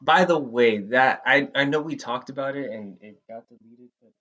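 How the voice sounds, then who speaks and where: A man co-hosting a casual podcast, reacting in a dry, halting way, recorded in dull lo-fi sound. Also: medium pitch, fairly bright tone. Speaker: podcast co-host.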